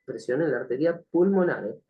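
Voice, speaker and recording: A man teaching a recorded nursing class, slightly hoarse from the flu, speaking hesitantly with many fillers and frequent check-ins with the listener. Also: fairly high pitch, lively intonation, fairly quick, phone-quality sound. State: has a cough from the flu. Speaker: nursing instructor. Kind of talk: recorded online lecture.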